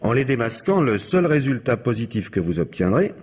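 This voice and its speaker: An actor in a 1950s-style French radio drama playing a calm man who insistently coaxes an inspector toward mercy. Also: mid-range voice, brisk, breathy edge. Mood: persuasive. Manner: insistent, coaxing.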